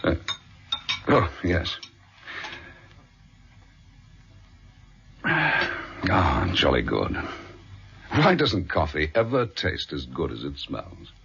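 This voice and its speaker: An actor with a British accent playing a brisk, dogged police chief inspector in a vintage radio drama, clipped and matter-of-fact. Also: male voice, a bass voice, huge pitch swings, gravelly, sharp tone, husky, exaggerated voice. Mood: preoccupied. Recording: dull, muffled vintage radio recording.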